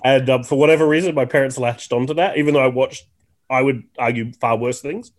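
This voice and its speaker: A man's voice: mid-range voice, brisk. A man in his thirties from Tasmania with an Australian accent, a podcast guest chatting casually and self-deprecatingly with plenty of fillers. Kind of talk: casual chat.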